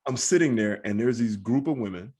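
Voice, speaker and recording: A man's voice: mid-range voice, animated, brisk, darkish tone, slightly rough. A man hosting a podcast and telling comic stories casually, repeating himself for emphasis. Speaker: podcast host and comedian. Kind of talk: casual chat, comic storytelling.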